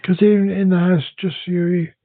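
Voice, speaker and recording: A bilingual man who speaks English and Welsh talks haltingly, often restarting his sentences in a relaxed conversation. His voice is slightly high and husky. The recording sounds dry and narrow, like a phone line. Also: no echo. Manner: hesitant, halting, many restarts. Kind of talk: casual podcast conversation.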